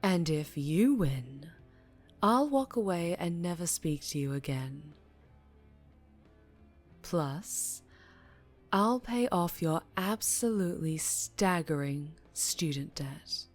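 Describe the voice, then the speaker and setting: A woman as a low, slow, menacing mafia boss. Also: expressive, soft background music, heavily acted. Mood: coolly bargaining. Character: dangerously charming female mafia boss, menacing, teasing, in control. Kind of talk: ASMR roleplay audio drama, addressing the listener.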